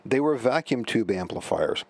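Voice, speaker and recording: A man's voice: mid-range voice, expressive, normal speed, a little mellow, dry recording. A middle-aged man hosting a vintage computer talk, chatting casually and rambling with fillers and self-corrections. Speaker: vintage computer channel host. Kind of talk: casual commentary.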